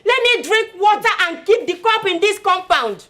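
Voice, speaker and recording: Stern, high-voiced Nigerian village mother. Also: animated, normal speed, a bit bright. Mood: fed up, commanding. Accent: Nigerian Igbo. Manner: scolding, commanding. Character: stern, shamed village mother, harsh and unyielding. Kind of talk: Nigerian film drama acting.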